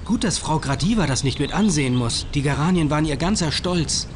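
Lively young boy detective, played by a man. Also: fairly high pitch, brisk, close to an everyday voice. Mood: observant, wry. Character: bright, self-assured teenage boy detective. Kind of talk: scripted point-and-click game dialogue. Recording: game audio with clear noise.

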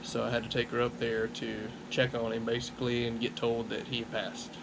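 A man in his forties, grieving, speaking in a subdued, halting way to a camera on an outdoor porch. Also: medium pitch, gravelly. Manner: subdued, halting, flat delivery. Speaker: car channel host. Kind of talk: casual vlog talk to camera. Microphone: camera in front at table distance. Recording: steady background noise outdoors.

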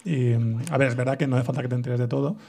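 A man's voice: medium pitch, fairly even intonation, fast, vocal fry, dark tone. A man in his thirties with a Spanish accent, a guest on a podcast, chatting easily on a handheld mic in a small bar. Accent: Spanish from Spain. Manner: easygoing, explains at length. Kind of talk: casual chat. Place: small bar stage with live audience.

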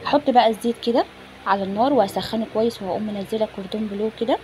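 A woman's voice: medium pitch, rapid-fire. A woman with an Egyptian accent casually narrating a home cooking tutorial, chatting informally and directly to her viewers. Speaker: home cook presenting a recipe. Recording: phone recording with clear background noise.

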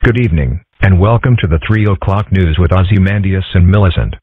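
A man voicing a mild, composed young boy fox in an amateur comic dub, with a deadpan delivery. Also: deep voice, a little fast, husky, no echo. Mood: formal. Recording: muffled, phone-like narrow sound.

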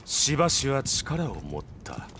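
An actor playing a cold, calculating strategist in a video game, speaking in a deliberate, ominous manner. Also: male voice, medium pitch, sing-song, noisy background. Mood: cold, insinuating. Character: cold, sinister, calculating male strategist in dark armor. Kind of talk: dramatic Japanese video game cutscene acting. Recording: studio voice over loud game music.

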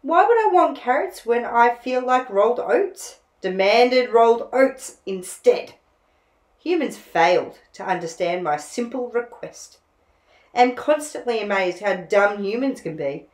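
A cranky storybook wombat, put on by a woman reading aloud, fed up and indignant. Her voice climbs high and swings in pitch, echoing in the room. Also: animated, measured pace. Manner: exasperated and indignant. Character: grumpy, stubborn wombat, demanding and sleepy.

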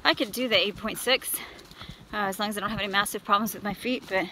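A woman's voice: medium pitch, fast, pushed and bright, slight background noise, rough recording. A middle-aged American woman, tired, talking casually as she records a trail vlog outdoors on a phone at arm's length. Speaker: thru-hiker vlogger. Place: outdoors on a wooded mountain trail.

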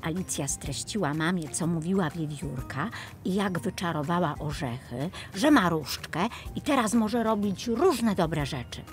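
Expressive, animated woman storyteller. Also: on the low side, measured pace. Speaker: narrator. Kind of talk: expressive scripted fairy-tale narration for children. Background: music.

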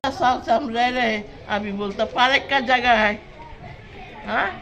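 A woman's voice: a little high, steady tone, unhurried, a bit bright, a faint crowd. An older woman, a grandmother and local resident, speaking in a weary, pleading way.

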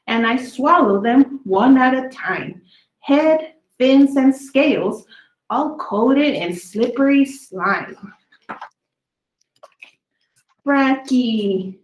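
A woman reading a children's book of dinosaur poems aloud, drawing out her words deliberately for young listeners in a small room. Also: sits high, animated, echoey room, low-quality recording. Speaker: children's story reader.

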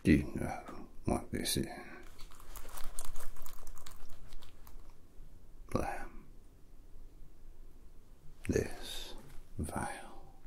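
Casting: a grandiose, threatening villain for ASMR roleplay; gravelly close-mic whisper, deliberate pauses. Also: male voice, extremely low pitch, heavily acted. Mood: grandiose, menacing. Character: menacing, grandiose male villain scientist kidnapper.

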